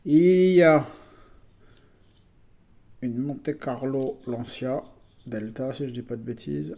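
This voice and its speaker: A man's voice: medium pitch, slow pace, gravelly, warm tone. A man from France presenting his toy vehicle collection in a casual video, chatty and full of hesitations. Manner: chatty, hesitant with many fillers.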